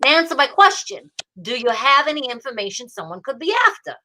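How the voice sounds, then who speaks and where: A woman playing a gruff but fond, exasperated police detective in an old-time radio drama, hardboiled and teasing. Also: high-pitched, big pitch swings, fairly quick, bright, forceful tone, slight background noise, exaggerated voice, poor audio.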